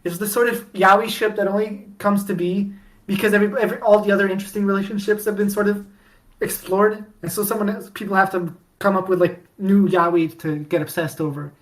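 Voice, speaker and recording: A woman chatting as a podcast guest, rambling with many restarts and sudden bursts of excitement. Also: mid-range voice, a little fast, rough recording. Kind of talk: casual podcast chat.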